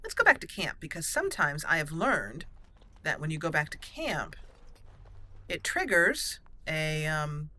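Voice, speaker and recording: A woman's voice: low voice, big pitch swings, measured pace, pushed and bright. A woman doing casual roleplay game commentary, chatty and thinking aloud as she plays. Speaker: let's play host.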